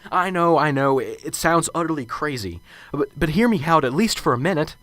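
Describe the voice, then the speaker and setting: A man's voice: fairly high pitch, lively intonation, fairly quick, a bit bright, dry recording. A man playing a nervous, unsure professor in a radio drama, stammering and hesitant. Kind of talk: amateur radio drama acting. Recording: radio drama with music underneath.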